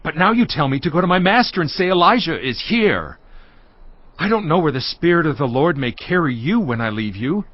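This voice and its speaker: An agitated servant in a Bible audio drama, pleading and protesting. His highish voice leaps up and down with fear, and the sound is slightly muffled. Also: very animated, a little fast, no echo. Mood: fearful protest. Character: frightened, pleading male palace servant, devout.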